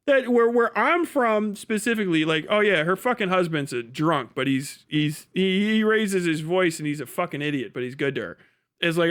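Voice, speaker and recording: A man hosting a live stream, giving casual, sweary political commentary in a sarcastic, animated way. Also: high-pitched. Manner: sarcastic, acts out imagined conversations in different voices.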